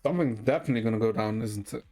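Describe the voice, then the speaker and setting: A man's voice: lowish voice, very fast, warm tone. A man giving casual gameplay commentary and reading story dialogue aloud, with musing, muttered asides. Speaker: game streamer. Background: video game music.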